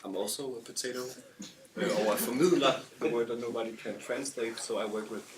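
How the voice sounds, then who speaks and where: A man introduces himself to a workshop group in a relaxed way. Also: mid-range voice, fairly quick, crowd noise. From Denmark. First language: Danish. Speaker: workshop participant. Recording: distant mic, hissy room.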